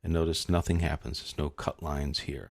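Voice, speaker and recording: A man narrating a step-by-step software tutorial as an instructor, explaining in an informal way. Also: a bass voice, steady tone, normal speed, creaky, no echo, clean studio sound.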